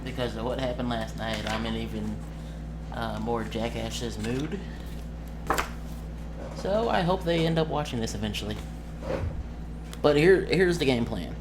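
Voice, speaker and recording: A man speaks at a middle pitch in a casual, unscripted vlog style as a tabletop game master. He rambles, hesitating and searching for the right word. Clear room noise runs behind him on an amateur recording. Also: a little slow, long pauses. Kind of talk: casual off-the-cuff vlog talk.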